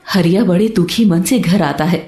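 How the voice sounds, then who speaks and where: Female narrator, high mellow voice, scripted storytelling, roomy echo, music. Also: moderate pace. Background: soft background music.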